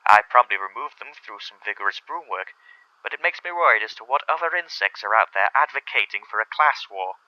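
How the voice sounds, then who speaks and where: A fretful 1950s housewife's letter, read out by a man in earnest, formal tones. The voice is sharp and forceful. The sound is dull, like period radio. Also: on the low side, lively intonation, fairly quick. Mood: worried.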